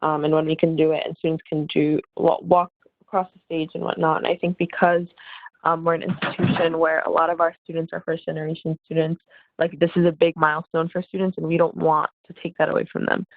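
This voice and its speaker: A woman in student government speaking in an online meeting, losing her voice, informal and halting with fillers. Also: low-pitched, little pitch movement, dry recording. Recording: tinny phone-like call audio.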